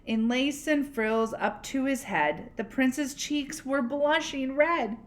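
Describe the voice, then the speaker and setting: A woman reading a picture book aloud expressively, pausing to explain words and ask questions. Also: high-pitched. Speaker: read-aloud storyteller, teacher.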